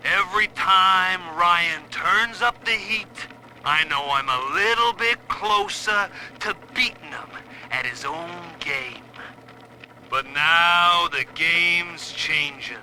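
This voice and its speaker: Slow, cocky crime boss. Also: male voice, high-pitched, bright, forceful tone, slight background noise, full character voice. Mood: smug, defiant. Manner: swaggering, deliberate. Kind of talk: dramatic recorded audio-diary monologue.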